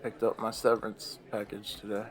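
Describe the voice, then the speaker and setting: An actor playing a mournful, posh old man, retired against his will, in an audio drama, with a deep voice and a halting, heavy delivery. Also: completely flat delivery, lightly acted. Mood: resigned. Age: older.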